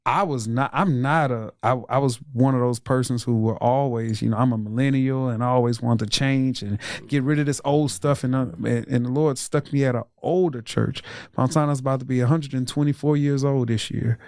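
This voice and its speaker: Gravelly, earnest pastor. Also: male voice, mid-range voice, fairly even intonation, a little fast, darkish tone, no room sound, clean studio sound. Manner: earnest and reflective, laughs easily, with restarts. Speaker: pastor and guest. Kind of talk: conversational interview.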